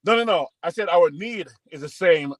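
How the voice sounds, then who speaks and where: Higher-voiced man in dry sports banter. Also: expressive, moderate pace, no echo. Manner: rambling, joking, talks over others. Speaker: podcast co-host. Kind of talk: casual sports debate.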